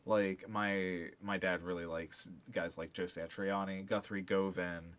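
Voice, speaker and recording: A man's voice: low voice, flat delivery, measured pace, fairly bright tone. A man co-hosting a podcast, chatting casually about music in a slangy, rambling way peppered with filler words. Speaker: podcast co-host.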